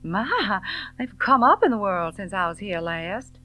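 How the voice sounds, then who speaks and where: A woman voicing a headstrong, impatient young Southern woman in an audiobook novel, brisk and emphatic. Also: medium pitch, very animated, sharp tone, faint music behind, voice pushed up. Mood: impressed.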